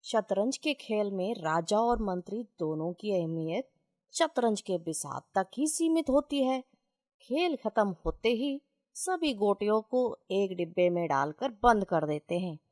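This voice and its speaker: A woman storyteller voicing a wise, humble old retired gentleman who gives measured, philosophical counsel. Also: medium pitch, animated, no echo, studio quality. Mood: philosophical, instructive.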